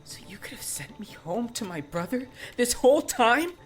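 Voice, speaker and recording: Audiobook sidekick, played by a male narrator: incredulous, questioning friend, very animated pitch, music clearly behind. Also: moderate pace. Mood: doubtful. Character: bewildered, doubtful male friend and technical sidekick. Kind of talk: audiobook character acting.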